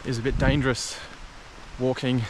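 A man talks casually to his viewers while hiking along country roads, his voice sitting at an ordinary middle pitch. The outdoor camera audio carries clear wind and road noise behind him. He keeps an easygoing, chatty manner as he walks. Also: flat delivery, normal speed. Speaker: travel vlogger. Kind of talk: casual walking commentary. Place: outdoors on rural roads and trails.

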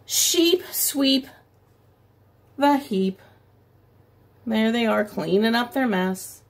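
Slow-paced middle-aged woman reading to preschoolers. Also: fairly high pitch. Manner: expressive, drawn-out story phrases with simple explanatory asides. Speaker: preschool teacher reading aloud. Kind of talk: picture-book read-aloud for young children. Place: small room at home, indoors by a window.

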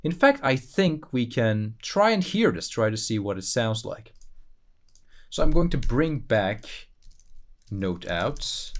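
A man talking through a software tutorial in an informal, explanatory way, thinking aloud as he goes. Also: on the low side, gravelly. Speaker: tutorial presenter. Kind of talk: casual screen-recorded software tutorial. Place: voice-over for a screen recording.

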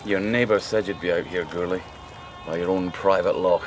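An actor playing an earnest, long-suffering police sergeant, polite and dry, in a radio drama. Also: male voice, low-pitched, steady tone, moderate pace, faint music behind, lightly acted. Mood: probing.

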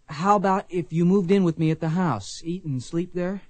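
A man's voice: high voice, moderate pace, no echo, lightly acted. An actor playing a determined, earnest young man bent on vengeance in a radio western, speaking insistently.

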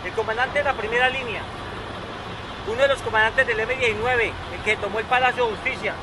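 A man's voice: very high voice, steady tone, a little fast, background noise. A man at a street protest, emphatically listing accusations in a street interview.

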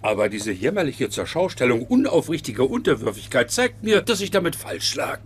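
An actor playing a stern, pompous, scornful company boss in a dubbed sitcom, speaking formally. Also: male voice, mid-range voice, very animated, fairly quick, slight background noise, natural voice. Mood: disdainful. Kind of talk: German-dubbed sitcom acting.

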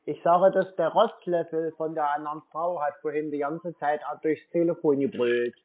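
A man's voice: on the high side, steady tone, normal speed. A man posing as a customer on a telephone call, asking persistent questions in a naive-sounding way. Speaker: prank caller posing as customer. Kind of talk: phone conversation. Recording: narrow phone-like sound.

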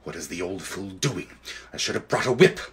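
A man voicing an imperious, menacing witch queen in a storybook, with haughty, drawn-out commands. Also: mid-range voice, expressive, fairly bright tone, slight background noise. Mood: impatient contempt.

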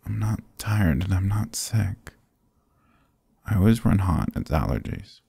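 Man acting a deep, gravelly, drowsy sick boyfriend. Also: sing-song, a little slow, dry recording, exaggerated voice. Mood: stubborn, dismissive. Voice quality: husky, gravelly, breathy. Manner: soft, drowsy, murmuring to a partner. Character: sick young boyfriend, stubborn, affectionate, sleepy. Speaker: ASMR roleplay voice actor. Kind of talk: ASMR boyfriend roleplay, intimate close-up acting. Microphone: close, dry microphone.

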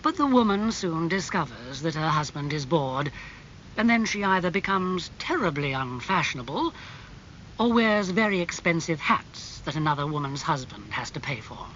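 Man acting a languid, cynical gentleman, animated intonation. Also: on the high side, normal speed, muffled recording, a little hiss or hum. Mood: worldly, cynical. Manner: calm, smooth-talking, languid. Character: suave, cynical gentleman friend, calm and worldly.

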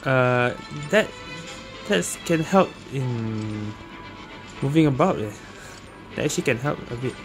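A man's voice: mid-range voice, expressive, unhurried. A man giving casual gameplay commentary as a gaming host, dropping colloquial tags like lah and eh. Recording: game music behind the voice. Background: video game music.

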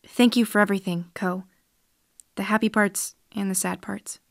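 A woman's voice: mid-range voice, steady tone, moderate pace, creaky, no room sound, clean studio sound, close to an everyday voice. A woman playing an earnest, questioning girl raised in space, in a science fiction audio drama.

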